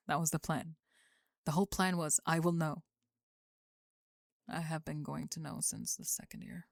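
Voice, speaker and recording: A woman playing a guarded, bookish young student in an ASMR roleplay, sharp-tongued but shy, with a halting, dry delivery. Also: deep voice, steady tone, gravelly, dry recording, pitched below the performer's own voice, full character voice. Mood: guarded, rueful. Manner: halting, dry, self-aware.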